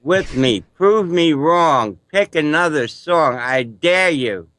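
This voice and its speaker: A man on a music review panel, hoarse and losing his voice, teasing the others in a mock-confrontational way. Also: a little high, expressive, slow pace, a bit bright, no room sound. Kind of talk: joking panel banter.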